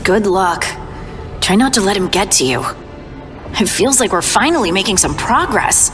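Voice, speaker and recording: An actress playing an earnest, thoughtful young dwarf scout who speaks hesitantly. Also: female voice, medium pitch, animated, normal speed, a bit bright, natural voice. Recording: game dialogue with music behind.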